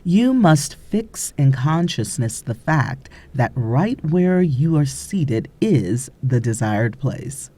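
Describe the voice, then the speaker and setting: Lady reading an audiobook, slow n mellow, measured teacherly delivery with pauses. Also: a bass voice, big pitch swings. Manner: measured, deliberate, instructive, pausing between phrases. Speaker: audiobook narrator. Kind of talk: scripted audiobook narration.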